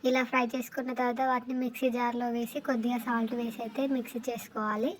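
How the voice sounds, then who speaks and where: A woman's voice: a little high, little pitch movement, rapid-fire, a little hiss or hum. A woman narrating a recipe voice-over step by step in an explanatory way. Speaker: cooking vlogger.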